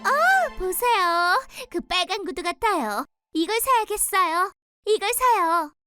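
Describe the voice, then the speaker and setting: A woman's voice: very high-pitched, sing-song, takes it slowly, bright, forceful tone, exaggerated voice. A woman voicing a stubborn young girl in a dubbed cartoon, with a whiny, pleading manner over children's music. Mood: eager. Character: stubborn young girl who loves red shoes.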